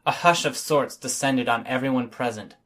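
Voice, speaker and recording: A man's voice: medium pitch, moderate pace, fairly bright tone. A man reading scripted first-person fiction as an audiobook narrator.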